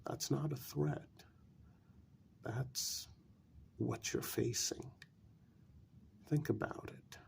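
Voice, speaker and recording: A man playing a calm, coldly persuasive vampire in a soft-spoken ASMR role-play, talking deliberately in a gravelly, breathy voice. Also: mid-range voice, slight background noise, heavily acted. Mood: coaxing, quietly menacing. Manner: slow, deliberate, pausing between phrases.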